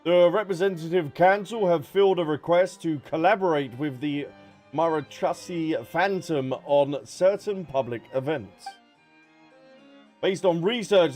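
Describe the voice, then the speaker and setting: Guy streaming a game, chatty n playful, kinda high voice, bit of music behind. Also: measured pace, darkish tone. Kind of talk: casual livestream commentary, reading game text aloud.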